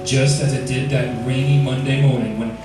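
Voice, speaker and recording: An actor playing a macabre, punning male host of an old radio horror show, with drawn-out theatrical delivery. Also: mid-range voice, fairly even intonation, lightly acted. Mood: somber, ominous. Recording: echoey, distant old radio recording, music behind.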